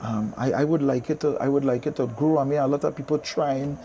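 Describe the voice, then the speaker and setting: A man's voice: mid-range voice, brisk, warm tone, a little hiss or hum. A middle-aged man with a Trinidadian accent, a soca artist chatting casually in an interview, telling stories with repeated phrases.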